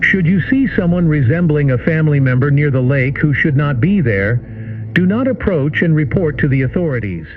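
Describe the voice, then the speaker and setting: Man as a detached broadcast announcer; slightly high, lively pitch, lo-fi tinny line with music behind. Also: moderate pace, lightly acted. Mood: ominous. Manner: flat formal announcements. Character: male emergency broadcast announcer, cold and official. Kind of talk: played clip from an analog horror series.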